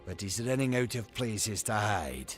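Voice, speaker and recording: An actor playing a haughty, scheming gnome patron in a fantasy video game, speaking in a pompous, measured, condescending way. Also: male voice, lowish voice, very animated, soft background music. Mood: smooth, scheming.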